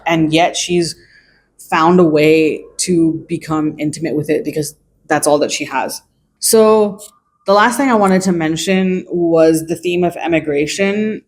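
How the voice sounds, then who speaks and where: A young adult who grew up in China, giving a casual, earnest book review on video with frequent hedges and restarts. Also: medium pitch.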